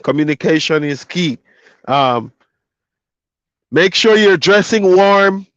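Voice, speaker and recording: A laid-back man sits in his truck and chats with his stream, slightly higher in pitch than most men. His voice comes through dull and dry, like a lo-fi stream mic. He talks easily and loosely to his viewers. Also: expressive, slow, fairly bright tone, no room sound. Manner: laid-back, loose, reads chat comments aloud. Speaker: trucker hosting a live stream. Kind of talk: casual live stream chat.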